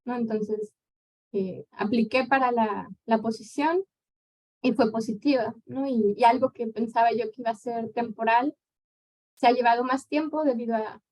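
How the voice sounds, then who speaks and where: Mexican woman chatting relaxed in Q&A. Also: on the high side, moderate pace, rough recording. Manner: conversational, relaxed, tag questions. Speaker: guest lecturer. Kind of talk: conference Q&A answer.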